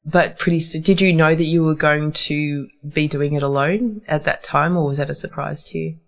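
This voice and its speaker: A woman hosting a radio interview from a community radio studio, asking conversational, gently probing questions. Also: low voice, steady tone, normal speed. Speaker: radio host. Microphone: studio microphone.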